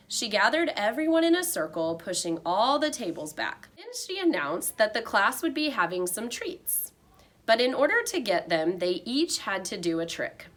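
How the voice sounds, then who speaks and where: A woman narrating a children's chapter book as a read-aloud, in an expressive storytelling style. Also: on the high side. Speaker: storybook reader.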